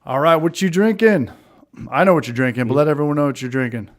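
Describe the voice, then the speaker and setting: A man's voice: on the high side, lively intonation, fast talker. A man in his late thirties co-hosting a casual beer livestream, rambling and chatty as he greets the chat. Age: 30s. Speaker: livestream co-host.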